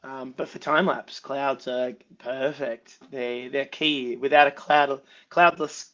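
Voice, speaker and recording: A guest with an Australian accent talks casually and easily, filling pauses with ums. He is a little unwell, and his voice comes through a dull, slightly muffled line. His voice sits at a middle pitch. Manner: relaxed, filler-heavy, laughs often. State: unwell, slightly under the weather. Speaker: guest photographer. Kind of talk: casual podcast chat.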